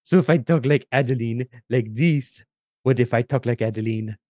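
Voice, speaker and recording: A man's voice: mid-range voice, moderate pace, dark tone, no room sound, clean studio sound. A man chatting casually as a speaker on a podcast. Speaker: podcast speaker on tabletop role-playing. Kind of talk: casual chat.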